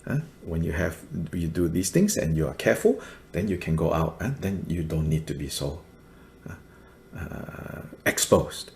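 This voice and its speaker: A middle-aged man from Malaysia giving a Buddhist teaching, halting and full of fillers, with soft laughs between points. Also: very deep voice, sing-song, vocal fry, slight background noise. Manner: slow, halting, many fillers, laughs softly. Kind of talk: dharma teaching commentary.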